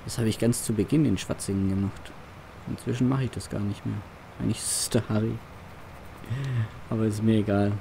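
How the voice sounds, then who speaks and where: Chatty young guy, lowish mellow voice, casual game commentary. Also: fairly even intonation, fairly quick, gravelly, a little hiss or hum, low-quality recording. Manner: chatty, laughs between phone calls. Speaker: let's play roleplay host.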